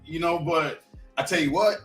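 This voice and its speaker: A man's voice: on the high side, normal speed, a bit bright, soft background music. A man telling a casual story on a podcast, laughing and stumbling over repeated words. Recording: echoey, distant from the mic.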